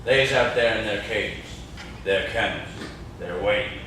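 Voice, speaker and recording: A man's voice: on the low side, a little slow, bright, forceful tone. A young man acting in an amateur stage play as a menacing, mournful old cabin man with a folksy rustic drawl. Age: young adult. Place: classroom, indoors. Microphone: far camera. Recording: echoey classroom, distant camera mic, noisy.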